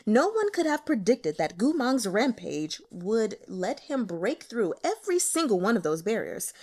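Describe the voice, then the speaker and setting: Woman reading a novel out loud, high voice, really expressive and up and down. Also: unhurried, breathy edge. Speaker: audiobook reader. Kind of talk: dramatic scripted narration.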